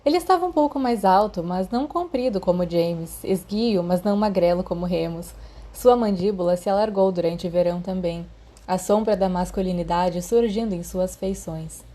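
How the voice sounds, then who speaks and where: A woman's voice: lowish voice, moderate pace, warm tone. A woman with a Brazilian Portuguese accent reading scripted fiction as an audiobook narrator.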